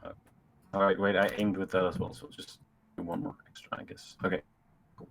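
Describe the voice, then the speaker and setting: A man's voice: sits low, flat delivery, a little fast. A man chatting casually as a player in a tabletop game. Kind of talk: casual chat.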